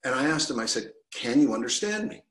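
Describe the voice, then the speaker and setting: Middle-aged man, lively storyteller, mid-pitched voice, roomy webcam sound. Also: fairly quick. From Canada. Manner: animated, gestures a lot with both hands. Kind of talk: personal storytelling to an online audience. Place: living room at home. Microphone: video call webcam.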